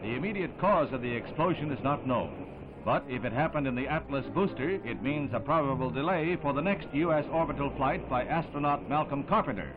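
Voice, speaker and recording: A man's voice: medium pitch, lively intonation, a little fast, background noise. A man narrating a 1960s newsreel in a formal, declamatory announcer's style. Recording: phone-like vintage 1960s newsreel soundtrack.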